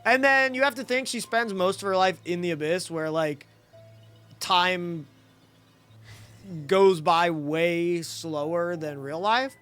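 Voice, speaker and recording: A man's voice: high voice, slow pace, fairly bright tone, clear, resonant tone, soft background music. A man doing casual live game commentary as a streamer, blunt and slangy.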